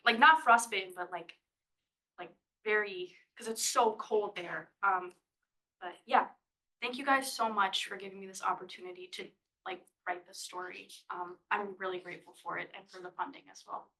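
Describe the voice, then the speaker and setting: Chatty young woman, bright voice. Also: mid-range voice, moderate pace, poor audio. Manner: casual, chatty, lots of fillers. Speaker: science writing student presenting thesis. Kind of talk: live presentation Q&A.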